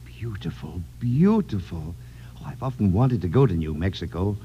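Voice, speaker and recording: An actor playing a bumbling, deferential president in a 1950s radio drama, hesitant, stammering and apologetic. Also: male voice, sits low, animated, moderate pace, a little hiss or hum. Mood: wistful, deferential. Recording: muffled, humming vintage radio broadcast recording.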